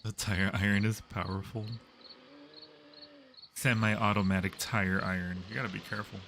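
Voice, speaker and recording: A man's voice: fairly low pitch, little pitch movement, moderate pace, creaky, noisy background. A man hosting a livestream, giving casual, joking commentary over a film. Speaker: livestream host. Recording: loud film soundtrack behind the voice. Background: old horror film playing with music.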